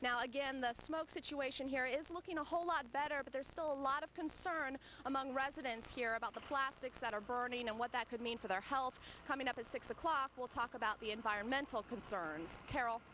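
A young adult woman, a field reporter, giving a measured live news report outdoors on a residential street into a handheld mic. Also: sits high, sharp tone, a little hiss or hum. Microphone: handheld station mic.

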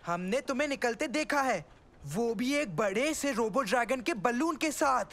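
A woman voicing an excitable, impatient young boy with a whiny, dramatic delivery in a cartoon dub. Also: a little high, normal speed. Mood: accusing.

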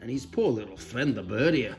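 A man reading a children's storybook aloud in a playful, expressive way with cheeky asides. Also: mid-range voice, a little fast, soft background music. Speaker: storybook reader. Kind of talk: animated read-aloud for children.

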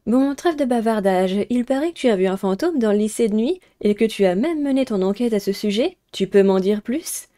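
A woman's voice: mid-range voice, expressive, a little fast, hardly any pauses, mellow, natural voice. A woman voicing a pushy, nosy teenage school reporter in a visual novel game. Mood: prying.

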